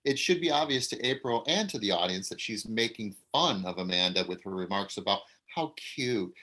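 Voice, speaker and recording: A man reading the stage directions at a table read, plain and slightly halting. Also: lowish voice, lively intonation, bright, forceful tone.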